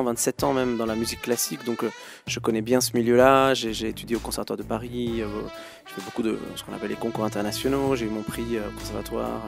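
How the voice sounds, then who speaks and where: A man in his thirties with a gravelly, mid-pitched voice answers interview questions as a guest musician. He speaks thoughtfully and hesitantly, with frequent fillers and restarts. Faint music plays in the background.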